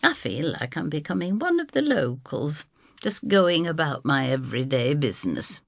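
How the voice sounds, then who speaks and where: Low, gravelly, confiding storybook landlady, acted by a woman. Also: very animated, normal speed, dry recording, studio quality, exaggerated voice. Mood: cosy, confiding. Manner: confiding, wry, homely. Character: Brenda, cosy, wry, motherly landlady narrator. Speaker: first-person narrator. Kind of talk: dramatised scripted storytelling.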